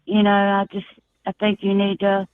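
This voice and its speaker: A soft-spoken woman from Texas calls in over a tinny phone line. Her flat, even delivery is earnest and halting, full of small pauses. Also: medium pitch, soft background music. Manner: earnest, halting, many fillers. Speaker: caller.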